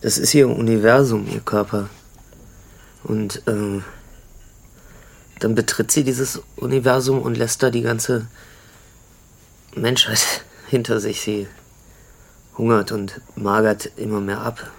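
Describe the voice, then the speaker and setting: A man's voice: on the low side, little pitch movement, takes it slowly, vocal fry, long pauses, heavily acted. An actor playing an earnest, awkward NGO man and troubled father in a radio drama, explaining things hesitantly. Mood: troubled, reflective. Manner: explaining, hesitant, searching for words. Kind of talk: naturalistic radio drama acting.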